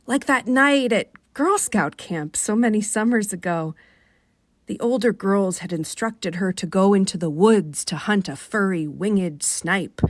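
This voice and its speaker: A woman with a Rochester, New York accent reading a short story for radio in an expressive, wry manner. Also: mid-range voice, no echo, clean studio sound. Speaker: author reading aloud.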